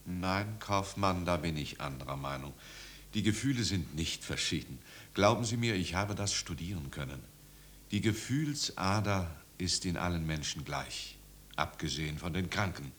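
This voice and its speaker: An actor playing a kindly middle-aged village pastor in a 1950s German radio drama, patient and instructive. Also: male voice, low voice, expressive, normal speed, a bit bright. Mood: earnest. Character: kindly, measured village pastor, fatherly host. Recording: noisy 1950s radio recording.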